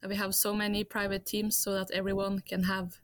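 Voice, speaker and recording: A woman from Norway, a guest in a casual interview, answering in halting English as she searches for words. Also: medium pitch, monotone, no echo, studio quality.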